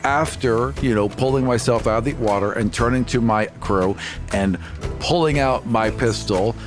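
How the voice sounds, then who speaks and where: A man's voice: mid-range voice, normal speed, music in the background, lightly acted. A man playing an aggrieved, proud merchant ship captain in a tabletop game, speaking earnestly and dramatically. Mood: vengeful. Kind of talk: improvised tabletop roleplay.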